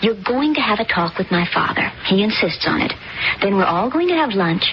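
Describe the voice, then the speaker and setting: A woman's voice: medium pitch, expressive, brisk, close to an everyday voice. A woman playing a cold, scheming young woman. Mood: commanding. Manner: sharp, domineering. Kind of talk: vintage radio drama acting. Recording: dull old radio recording with hiss.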